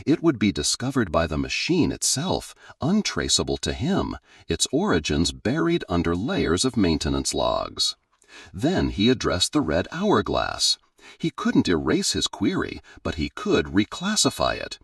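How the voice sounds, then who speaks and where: Male narrator for sci-fi audiobook: low, gravelly, measured and dramatic. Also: wildly swooping pitch, no echo, clean studio sound.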